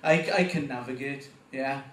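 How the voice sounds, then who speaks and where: A man with a Welsh accent performing a stand-up comedy set, getting animated at punchlines. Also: normal speed, distant mic, slight background noise. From Wales. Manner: animated, voice jumps up in pitch at punchlines. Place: comedy club stage. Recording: echoey room, voice from afar.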